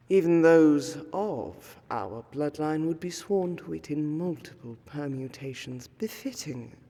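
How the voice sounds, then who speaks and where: A woman's voice: sits low, mellow, breathy edge, full character voice. A woman playing a refined, ancient vampire lady in a fantasy roleplay audio, speaking formally and courteously in ornate, archaic phrases with a melancholy air. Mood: composed, formal. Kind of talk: fantasy roleplay audio, scripted monologue to the listener. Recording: long cavernous hall reverb.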